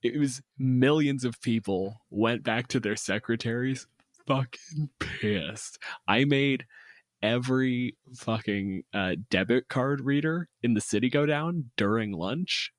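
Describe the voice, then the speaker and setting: A man's voice: medium pitch, takes it slowly, no echo. A man in casual planning conversation, talking bluntly and swearing freely. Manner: blunt, profane.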